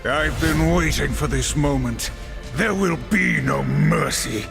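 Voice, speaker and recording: A man's voice: fairly high pitch, expressive, slow pace, creaky, exaggerated voice. An actor playing a stern, vengeful horseman warrior in a video game, speaking in solemn, terse, formal lines. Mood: vengeful resolve. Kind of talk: theatrical video game acting. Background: music.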